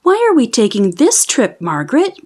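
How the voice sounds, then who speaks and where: A woman's voice: fairly high pitch, wildly swooping pitch, normal speed, a little mellow. A woman voicing an inquisitive, earnest young male companion in a children's storybook. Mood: curious, teasing. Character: inquisitive, chatty son and companion of a traveler.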